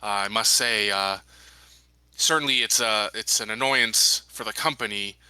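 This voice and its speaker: A smooth, diplomatic company man played by a man at a gaming table, speaking in measured, formal phrases. The voice is strikingly bright and clear, on the lower side, and recorded dry. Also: no echo, poor audio. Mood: grave, diplomatic. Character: smooth, evasive male company man reassuring colonists. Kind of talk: in-character tabletop roleplay.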